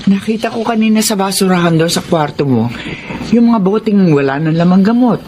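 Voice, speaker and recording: A woman's voice: mid-range voice, lively intonation, a little fast, noisy background, lightly acted. An actress with a Filipino accent playing a caring, anxious middle-aged mother in a radio drama. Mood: worried.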